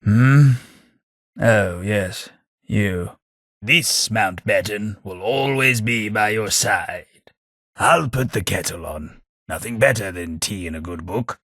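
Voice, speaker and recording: A man voicing a posh, sardonic British gentleman companion in a game, with a British accent. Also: on the low side, takes it slowly, no echo. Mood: wry. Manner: dry, wry, posh and sardonic. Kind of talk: game voice lines.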